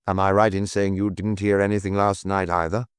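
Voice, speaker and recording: A man voicing a detective chief inspector in an audiobook, quiet, measured and persistent in his questioning. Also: deep voice, level tone, clean tone, dry recording, clean studio sound, natural voice. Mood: polite, probing. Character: calm, probing male detective chief inspector.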